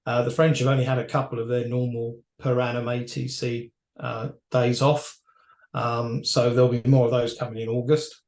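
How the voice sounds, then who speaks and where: A man with a mid-pitched voice speaks in a roomy, echoey space. He gives opinionated industry commentary in a conversational way, with a wry edge. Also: level tone, normal speed, darkish tone. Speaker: aviation expert commentator.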